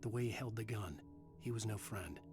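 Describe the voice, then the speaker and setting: An actor playing a troubled writer hero, narrating a dark thriller game in a grim, measured, hard-boiled way. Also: male voice, fairly low pitch, lightly acted. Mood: grim, tense. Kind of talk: scripted first-person game narration. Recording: loud music behind.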